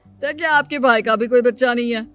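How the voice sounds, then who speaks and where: Meddling male family friend for a moral tale, acted by a man: strikingly high voice, exclamatory, persuasive, greedy-minded. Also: brisk, bright, forceful tone, soft background music. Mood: persuasive, scornful. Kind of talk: dramatised Hindi moral story acting.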